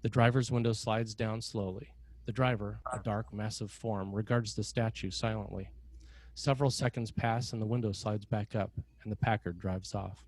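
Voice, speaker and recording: A man reading scripted narration and stage directions as a screenplay narrator, in a measured, dramatic way. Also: fairly low pitch, steady tone, darkish tone.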